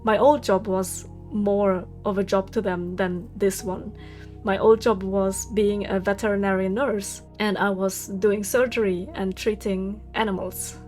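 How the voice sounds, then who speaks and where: A woman, talking straight to her viewers in a casual vlog. She vents openly, swears freely and breaks into laughs, at a middle pitch, with soft music playing underneath. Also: flat delivery, measured pace. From Germany. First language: German. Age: 30s. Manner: candid, venting, swears freely, laughs often. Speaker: vlogger.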